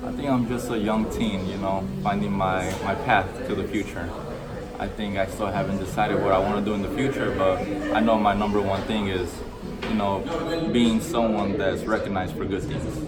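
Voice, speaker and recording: Young man, low voice, earnest, rambling, lots of fillers, recorded on a phone a bit away from him. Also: recorded from a distance, low-quality recording. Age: 20s. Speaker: interviewee, burger restaurant supervisor. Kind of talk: casual street interview. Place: busy burger restaurant, indoors. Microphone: phone held by interviewer.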